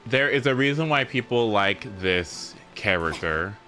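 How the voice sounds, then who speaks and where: A man's voice: fairly low pitch, lively intonation, unhurried, pushed and bright, faint music behind. A young adult man chatting casually on a game livestream, rambling informally and swearing freely. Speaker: live streamer. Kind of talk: casual livestream chat while playing a game.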